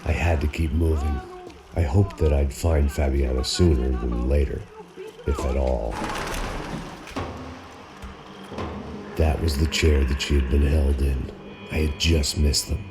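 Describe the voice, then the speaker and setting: An actor playing a weary, bitter, hard-drinking American in a hardboiled noir game voice-over, terse and sardonic. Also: male voice, a bass voice, steady tone, moderate pace, loud music behind the voice. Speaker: narrating protagonist.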